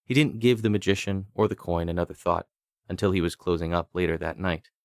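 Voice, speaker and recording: Male narrator, deep voice, deliberate, dramatic, fantasy audiobook, very dry close sound. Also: no room sound. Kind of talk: scripted fantasy story narration.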